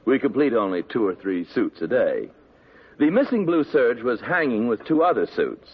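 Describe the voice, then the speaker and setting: An actor playing a fussy, pompous tailor who talks down to the detective in a haughty, condescending way, in a 1950s radio drama. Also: male voice, mid-range voice, big pitch swings, normal speed, breathy edge. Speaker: custom tailor and client. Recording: dull old radio broadcast.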